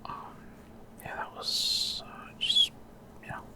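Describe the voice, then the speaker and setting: A man giving casual ASMR video game commentary in a breathy, unvoiced whisper, explaining things without hurry. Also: slow. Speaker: gaming ASMR creator.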